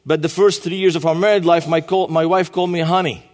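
Guest preacher, man in his 40s, high voice, long church reverb. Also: steady tone, fast talker, darkish tone. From Lebanon. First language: Arabic. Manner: emphatic, repeats key phrases. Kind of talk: sermon.

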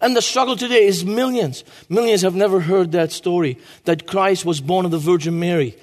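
Guest preacher, man in his 40s, high voice, long church reverb. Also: fairly quick. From Lebanon. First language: Arabic. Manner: emphatic, repeats key phrases. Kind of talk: sermon.

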